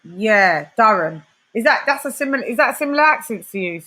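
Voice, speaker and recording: A woman's voice: on the high side, animated, brisk, bright, forceful tone. A woman with a London accent, hosting a casual livestream chat and reading out viewers' comments. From West London. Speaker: livestream host.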